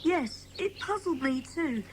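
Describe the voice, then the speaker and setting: Earnest, anxious little boy played by a woman in a children's audio mystery; high, hesitant voice. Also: expressive, takes it slowly, noisy background. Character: timid young runaway boy, earnest and worried. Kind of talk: dramatised children's audiobook acting.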